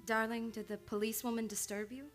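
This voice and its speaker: A woman's voice: on the high side, level tone, quick pace, soft background music, natural voice. A woman playing an earnest, devoted young psychoanalyst in a live old-time radio play. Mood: tender, shy.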